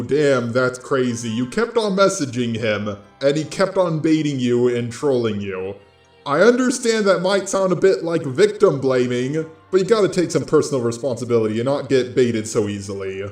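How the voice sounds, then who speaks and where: A man's voice: medium pitch, a little mellow. A man delivering informal scripted commentary as an online video commentator. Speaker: YouTube drama commentator. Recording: roomy echo with music underneath.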